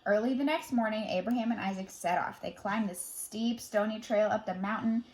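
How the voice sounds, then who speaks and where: Expressive woman reading a children's story. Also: mid-range voice, moderate pace, rough recording. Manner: dramatic, shifting from emphatic to hushed. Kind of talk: expressive read-aloud of a children's Bible story.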